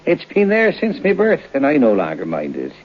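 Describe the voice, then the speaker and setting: A man's voice: medium pitch, huge pitch swings, moderate pace, breathy edge. An actor playing a morbid, garrulous old Irish local man of seventy-nine in an old radio drama, cackling, folksy and deferential. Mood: cackling, teasing. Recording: dull lo-fi old radio recording.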